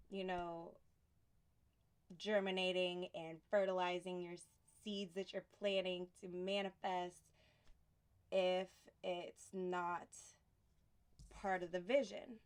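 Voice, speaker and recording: A woman from Houston giving a casual tarot reading, soft and unhurried, pausing often, a little sniffly from allergies. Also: lowish voice, clean tone. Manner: soft, unhurried, reflective delivery with pauses. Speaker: tarot reader.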